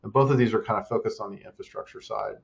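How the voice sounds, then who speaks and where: A man talks through his answers in a level, even way, stopping often to restart a phrase. His voice sits low and has a gravelly edge. The audio sounds slightly dull and muffled. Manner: measured, with many fillers and restarts. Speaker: interview guest, investor and startup advisor. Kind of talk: casual interview answers.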